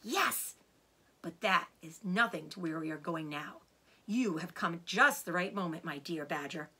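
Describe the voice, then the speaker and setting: Woman playing a lively, confident father fox. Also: lowish voice, normal speed, husky, pitched below the performer's own voice, exaggerated voice. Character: clever, confident father fox, genial and sly. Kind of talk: children's storybook character voice.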